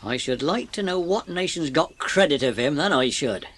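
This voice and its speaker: A man's voice: fairly high pitch, lively intonation, normal speed, fairly bright tone. A bossy, self-important railway station master in a period audio drama. His English voice swings up and down as he brusquely takes charge, though he is fair underneath. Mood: grudging.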